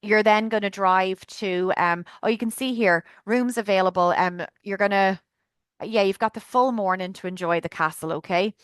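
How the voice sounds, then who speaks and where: A woman's voice: mid-range voice, moderate pace, smooth, dry recording, clean studio sound. An Irish woman chattily presenting a trip on a video call webinar, enthusiastic with lots of fillers. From Ireland. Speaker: tour guide presenting a trip.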